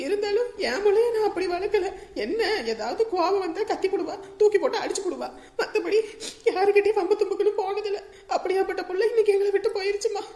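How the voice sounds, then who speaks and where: A woman's voice: very high-pitched, fast, dark tone. A woman playing an aggrieved, bitter village mother in a family drama, with a plaintive, scolding manner. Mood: wounded lamenting. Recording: roomy, echoing voice recording.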